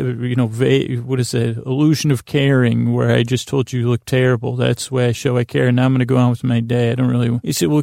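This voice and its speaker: A man hosting a podcast in a meandering, halting monologue, often repeating words and restarting sentences, with a self-deprecating manner. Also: mid-range voice, creaky, a little mellow, dry recording, studio quality. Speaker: podcast host. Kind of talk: rambling monologue introducing a sleep podcast.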